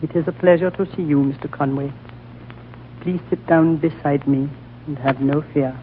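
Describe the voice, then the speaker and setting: A man acting an ancient, courtly High Lama, thin old-radio sound. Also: fairly even intonation, close to an everyday voice. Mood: courtly, gentle. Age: older. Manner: slow, courtly, measured storytelling. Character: ancient, gentle, solemn High Lama of a hidden lamasery. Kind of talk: 1940s radio drama acting. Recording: old narrow-band radio recording, faint noise.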